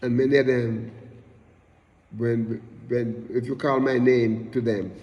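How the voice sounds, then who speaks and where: A middle-aged man with a Jamaican accent preaching a sermon in a slow, emphatic way, repeating refrains and rising in bursts. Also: medium pitch, flat delivery, muffled recording. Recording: long hall reverb.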